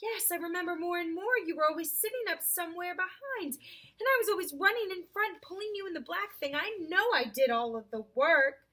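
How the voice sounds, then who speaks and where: Storybook horse, played by a woman: simple, thoughtful old workhorse, halting phrases, high bright voice. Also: lively intonation, full character voice. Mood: remembering. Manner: slow, musing, halting. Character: slow-witted, muddled, earnest talking male cart horse.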